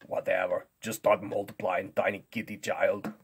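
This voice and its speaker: Male actor as boastful, dim strongman for children's comedy lesson; lowish, husky, sulky and dismissive. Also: flat delivery, moderate pace. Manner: pompous, loud, sulky and dismissive. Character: vain, boastful, dim-witted strongman who belittles tiny kids. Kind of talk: comic kids' maths lesson skit.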